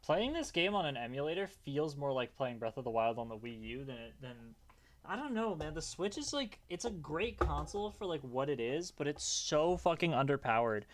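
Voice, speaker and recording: A young man streaming a game, chatting casually with a calm, relaxing voice, rambling loosely and swearing often. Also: on the high side, normal speed. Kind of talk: casual gaming stream chat.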